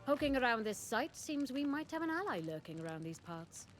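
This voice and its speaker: An actress playing a pragmatic, plucky ranger comrade in a video game, casual, confident and matter-of-fact. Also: female voice, sits high, very animated, a little fast, soft background music. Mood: wry, purposeful. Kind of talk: video game character dialogue.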